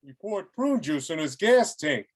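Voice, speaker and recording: A man reads a polite construction foreman in a script read. His voice sits high for a man, and he speaks hesitantly, trying to keep the peace. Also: big pitch swings, a little slow. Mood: exasperated, awkward. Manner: hesitant, conciliatory. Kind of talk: online script table read.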